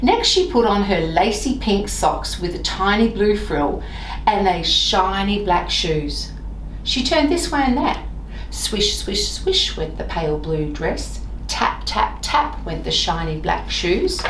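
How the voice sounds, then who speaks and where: Expressive woman reading aloud in echoey room. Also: mid-range voice, far from the mic. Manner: expressive, deliberate, sing-song on repeated phrases. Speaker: library staff member reading stories. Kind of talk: children's storybook read-aloud. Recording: roomy echo, off-mic, faint noise.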